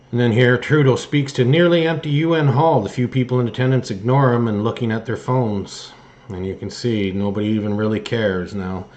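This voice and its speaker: A man giving political opinion commentary as host, speaking in a halting, hesitant way with phrases that trail off. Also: lowish voice, darkish tone, a little hiss or hum. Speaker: host of an online political news commentary show. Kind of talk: political opinion commentary, partly read from articles.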